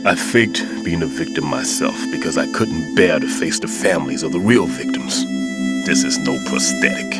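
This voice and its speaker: A man playing a grave, remorseful middle-aged bomb disposal veteran in a video game cutscene, speaking in a solemn, deliberate way. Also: very deep voice. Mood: anguished, remorseful. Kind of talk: dramatic game cutscene acting. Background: background music.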